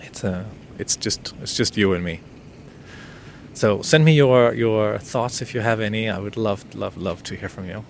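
A lowish-voiced man whose first language is Danish muses aloud on his own podcast. He stumbles and restarts often, speaking intimately and unscripted. A faint rumble of a vehicle sits underneath. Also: normal speed, creaky. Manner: hesitant, many restarts and fillers, intimate. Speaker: podcast host. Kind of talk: unscripted solo podcast monologue.

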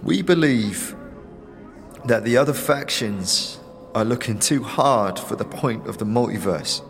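A man voicing a despairing, muscular half-elven warrior woman in an audio drama, speaking in a bleak, halting, solemn way. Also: medium pitch, expressive, a bit bright, in a large hall. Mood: grieving, bleak. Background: music.

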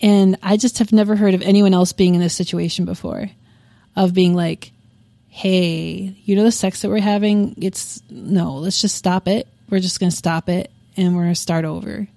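Woman in her thirties, lowish voice, frank and profane, casual interview talk, dry close sound. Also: fairly even intonation, normal speed, creaky, mellow, no echo. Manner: frank and profane, with frequent fillers. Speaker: podcast guest. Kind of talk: candid casual interview chat.

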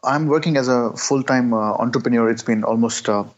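A man in his thirties from Pakistan, a guest answering interview questions with many pauses, fillers and restarts. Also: mid-range voice. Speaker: guest, entrepreneur. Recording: dull lo-fi sound.

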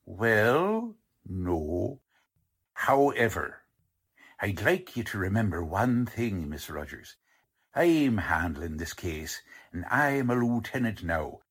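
Guy doing a gruff bossy american police lieutenant, old radio crime show, slangy, drawn out and swingy delivery. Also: fairly low pitch, sing-song, slow pace, exaggerated voice. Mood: wary, bossy. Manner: blunt, slangy, hemming and hawing. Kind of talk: old-time radio crime drama acting.

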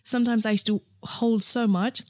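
A woman's voice: a little high, steady tone, normal speed, no echo. A woman from Nepal, speaking as an interview guest in a frank, chatty way with many fillers and restarts. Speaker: interview guest, founder of a period underwear brand. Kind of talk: casual interview conversation.

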